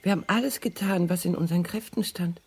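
Elvira, husky, low-voiced prim older lady. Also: fast, darkish tone. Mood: reproachful. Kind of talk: German crime radio play acting.

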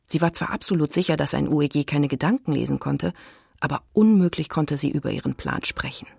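A woman's voice: deep voice, vocal fry, darkish tone, husky, full character voice. A woman narrating a spooky children's adventure as the storyteller of a scripted audio drama.

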